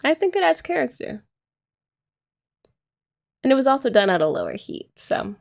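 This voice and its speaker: A young woman doing a soft, teasing, affectionate ASMR girlfriend roleplay, often laughing, close to the mic. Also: high-pitched, very animated, moderate pace, vocal fry.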